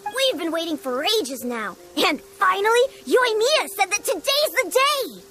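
A woman's voice: very high voice, very animated, a little slow, bright, forceful tone, full character voice. A woman voicing an eager, stubborn young village child in a video game. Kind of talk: video game character dialogue. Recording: music behind the voice.

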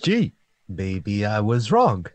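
Dopey, swooping tavern regular. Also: male voice, on the low side, wildly swooping pitch, no echo, heavily acted. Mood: puzzled, guileless. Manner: slow-witted, blunt, guileless. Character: dim-witted, good-natured male tavern regular. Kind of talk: 1940s radio comedy acting.

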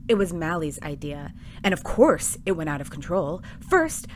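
A woman's voice: fairly low pitch, fairly bright tone, slight background noise. An actress playing a frazzled, exasperated female android who complains emphatically in an audio drama.

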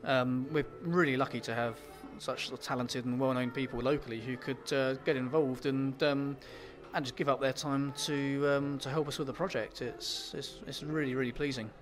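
A man with an English accent, an author answering interview questions in a halting way, full of ums and repeated words. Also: mid-range voice, steady tone, soft background music.

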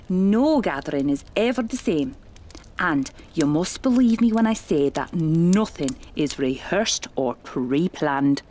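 A woman playing a seasoned, genteel spiritualist medium in a radio play, speaking in a measured, dramatic way. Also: fairly low pitch, darkish tone, slight background noise, close to an everyday voice.

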